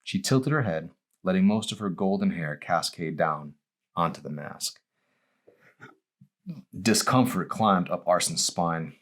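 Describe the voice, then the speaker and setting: A man reading his own novel aloud as expressive audiobook narration. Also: sits low, measured pace, long pauses.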